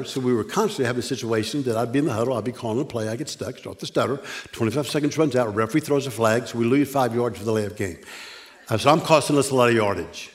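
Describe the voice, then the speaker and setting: An older man stands at a stage microphone in a church and preaches with a stutter. He stalls and restarts often and teases himself about it. His voice sits at an ordinary middle pitch. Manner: stutters, with pauses and restarts, self-deprecating humor. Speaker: guest preacher. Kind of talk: sermon. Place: church sanctuary.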